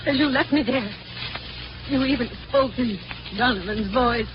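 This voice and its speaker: An actress playing a fragile but devoted wife, speaking in hesitant, broken phrases in 1940s radio drama style. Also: female voice, a little high, normal speed, close to an everyday voice. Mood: wounded accusing. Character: wounded, devoted wife, fragile yet determined. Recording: dull, noisy 1940s radio recording.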